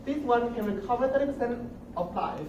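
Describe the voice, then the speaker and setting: A young man giving an impromptu project demonstration, haltingly, often repeating and restarting his words. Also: very high-pitched, animated. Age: young adult. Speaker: student presenter. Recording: roomy, distant mic with noticeable noise.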